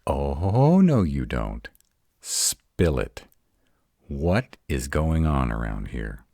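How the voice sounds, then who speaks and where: A man playing a grumpy, protective male were-dragon who talks in a gruff, sarcastic drawl in a roleplay audio drama. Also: a bass voice, very animated, drawn-out words, mellow, dry recording, studio quality, exaggerated voice. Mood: suspicious, teasing.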